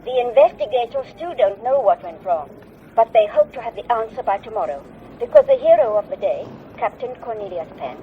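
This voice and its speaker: Tinny taped foreign news reporter. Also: male voice, high-pitched, sing-song, fairly quick. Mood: earnest. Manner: repetitive, emphatic, slightly broken English. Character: foreign news reporter earnestly reading a local bulletin. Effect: played back from a cassette-like tape. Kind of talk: radio drama news report. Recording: lousy, noisy, tinny taped broadcast.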